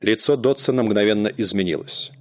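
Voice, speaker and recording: Low-voiced man narrating a story. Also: no echo. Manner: measured storybook reading. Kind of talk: scripted literary narration.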